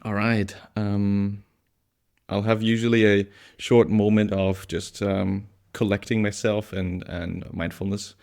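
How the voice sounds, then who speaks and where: A young man hosting a podcast, talking in a gentle, thoughtful and somewhat hesitant way. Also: on the low side, level tone, takes it slowly. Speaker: podcast host, coach and psychologist. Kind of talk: casual podcast conversation.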